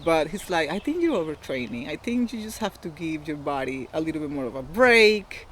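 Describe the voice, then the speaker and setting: A woman's voice: low-pitched, very animated, brisk. A woman with a Costa Rican Spanish accent speaks as an interview guest. She explains her own experience candidly in a conversational way, with slight noise in the background. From Costa Rica. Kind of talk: personal storytelling in casual interview.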